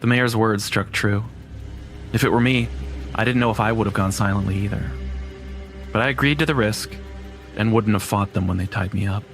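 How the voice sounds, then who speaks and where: Low-voiced man narrating horror. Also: normal speed, long pauses, a bit bright, faint music behind. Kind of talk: first-person horror story narration.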